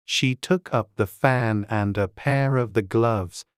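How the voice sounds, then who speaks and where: A man narrating a storybook audiobook in a gentle, measured, good-humoured way. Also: fairly low pitch, clear, resonant tone, dry recording, clean studio sound.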